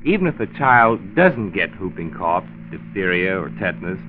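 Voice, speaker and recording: Earnest 1940s radio-drama doctor, tinny recording. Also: male voice, low-pitched, very animated, normal speed, slight background noise. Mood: earnest, instructive. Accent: American. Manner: explanatory, emphatic, didactic. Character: earnest married male doctor, health commissioner, firm and concerned.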